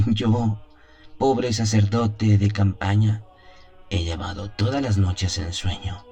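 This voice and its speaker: A man playing a haunted, wistful seventy-year-old country priest, confessing his past in a deliberate, pause-filled radio drama monologue. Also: on the low side, fairly even intonation, mellow, faint music behind. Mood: rueful. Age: 70s as played. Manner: chuckles, sighs, deliberate storytelling with pauses.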